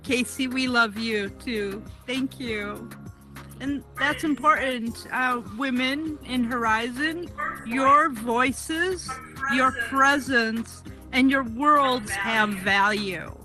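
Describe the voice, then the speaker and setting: A woman host introducing speakers at an event, encouraging and unhurried. Her voice is slow and a little high, with music playing clearly behind her. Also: low-quality recording.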